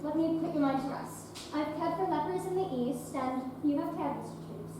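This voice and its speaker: A woman's voice: sits high, normal speed, lightly acted. A young woman acting a devout, unflinching Victorian nurse in a stage play, firm and formal. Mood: resolute. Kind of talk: teen stage play performance. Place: theatre stage. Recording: echoey stage recording from far off, noisy.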